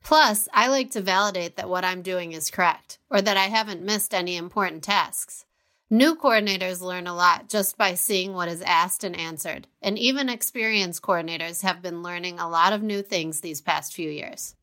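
A woman reads a promotional script in a bright, forward voice. Her delivery is even and clearly read aloud. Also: on the low side, moderate pace. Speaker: online community moderator.

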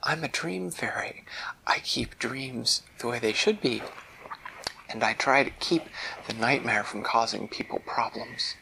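A man voicing a kind, gentle female dream fairy who speaks in a soothing, reassuring way. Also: mid-range voice, unhurried, gravelly, slight background noise, poor audio.

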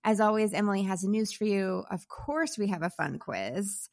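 A woman's voice: medium pitch, moderate pace, creaky. Upbeat woman podcast co-host. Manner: upbeat, runs through the show lineup. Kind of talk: casual podcast chat.

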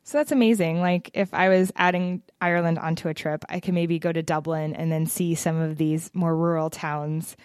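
A woman's voice: low-pitched, fairly even intonation, moderate pace, hardly any pauses, a little mellow, clear, resonant tone, dry recording, studio quality. A woman hosting an interview podcast, upbeat and encouraging, asking her guest questions. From Seattle. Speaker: podcast host.

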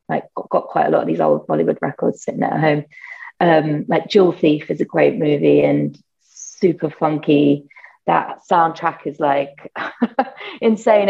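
Creaky British woman, rambling enthusiastically. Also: lowish voice, muffled recording. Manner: enthusiastic, rambling, heavy use of "like" fillers. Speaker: guest musician. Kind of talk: casual interview conversation.

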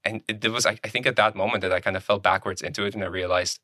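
Bright, low-pitched man, close and dry. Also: steady tone, very fast, dry recording. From Germany, born in Poland. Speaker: podcast co-host being introduced. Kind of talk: casual conversational interview.

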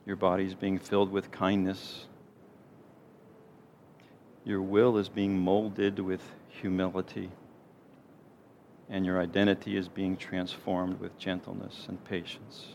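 Low-voiced man leading a gentle guided meditation. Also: monotone. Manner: gentle, unhurried, soothing delivery with pauses. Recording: clear background noise, low rumble.

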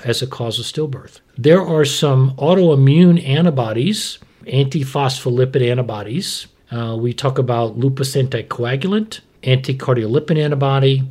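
A man's voice: mid-range voice, a little mellow. A man giving a conversational medical explanation as an expert, plain-spoken and didactic, often posing questions and answering them himself. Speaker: physician expert explaining to a co-host.

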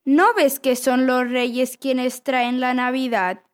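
A woman's voice: high voice, flat delivery, slow pace, smooth. A woman playing a cheeky, know-it-all little boy in a children's radio play. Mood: teasing, smug. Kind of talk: children's radio theatre acting.

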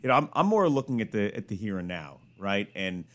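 A man's voice: on the low side, very animated, a little fast, a bit bright. A man working as a sports studio host, talking through basketball analysis in a casual, conversational way.

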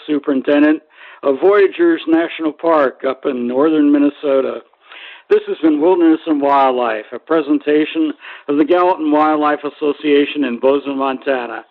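A man with a slightly higher-than-average voice hosts an informal interview show. He speaks in halting, hesitant phrases, and the audio is muffled, with a narrow radio-like band.

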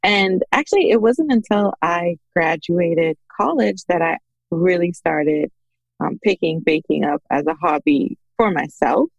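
A woman with a somewhat low voice and noticeable vocal fry chats as a podcast guest. She tells her own story in a conversational way, livening up when she quotes others. The recording is dry and close. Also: unhurried, no echo, muffled recording. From Bahamas. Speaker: podcast guest, small business owner. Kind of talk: conversational personal storytelling in an interview.

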